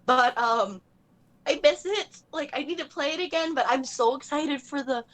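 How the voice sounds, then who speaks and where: A gushing, bubbly young woman talking casually on a podcast, piling "like" into every sentence. Her voice sits a little high and rings bright and forceful. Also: moderate pace, rough recording. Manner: bubbly, gushing, fills speech with "like". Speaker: cosplayer guest.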